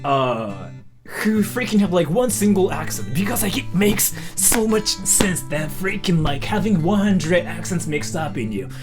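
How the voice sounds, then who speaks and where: Young male streamer from Japan, loose rambling chat, very lively pitch, faint guitar bed. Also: unhurried. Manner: rambling, filler-heavy, says "freaking" and "like" constantly. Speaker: live streamer. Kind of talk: casual live-stream chat.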